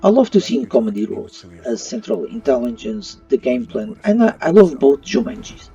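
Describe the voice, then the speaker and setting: Husky man reviewing movies, mellow and animated. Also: mid-range voice, brisk. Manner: non-native English phrasing. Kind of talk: opinion review voice-over. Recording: muffled, slightly distant mic. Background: faint music.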